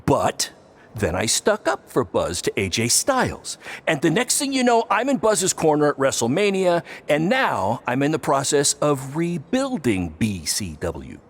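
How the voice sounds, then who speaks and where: A man's voice: a little high, huge pitch swings, a little slow, full character voice. An actor playing a brash, boastful middle-aged wrestling promoter in a video game, with a hammy salesman manner. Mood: boastful, pitching. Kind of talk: video game cutscene acting.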